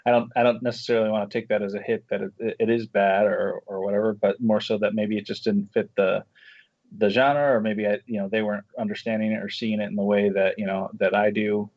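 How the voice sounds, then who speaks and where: A man speaking casually as an interview guest, a screenwriter and director, in a halting, thoughtful way that circles back through rephrasings. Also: on the low side, level tone, no room sound. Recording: dull, muffled lo-fi audio.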